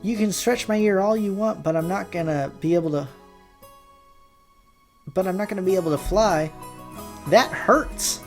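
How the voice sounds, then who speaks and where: A man's voice: sits high, moderate pace, long pauses, voice pushed up. A man voicing a brash, foul-mouthed teenage son with exaggerated yelps, reading a visual novel over music. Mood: pained whining.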